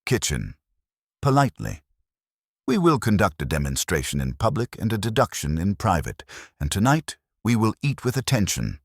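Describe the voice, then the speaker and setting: A man voicing a grave, imperious male master detective in an audiobook, formal and deliberate in his pronouncements. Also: on the low side, huge pitch swings, slightly rough, no echo, studio quality, pitched above the performer's own voice, exaggerated voice. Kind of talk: audiobook character dialogue.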